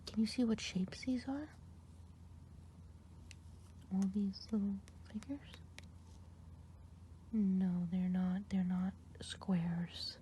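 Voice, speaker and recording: A woman performs a kindly good witch in a whispered ASMR roleplay. The voice stays soft and mellow, close and unhurried, with patient questions to the listener. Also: medium pitch, slight background noise, lightly acted.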